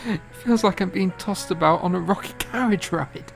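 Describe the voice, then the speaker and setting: A man acting a weary, feverish young gentleman composer who speaks in halting, formal, courteous phrases in a period audio drama. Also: sits high, gravelly, faint music behind. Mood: weak, polite. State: feverish, weak.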